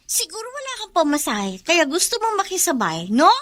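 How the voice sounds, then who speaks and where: Snobbish rich schoolgirl, very high, lively pitch, mocking, spiteful, radio drama. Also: normal speed, slight background noise, full character voice. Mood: scornful. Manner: haughty, mocking. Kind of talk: Filipino radio drama acting.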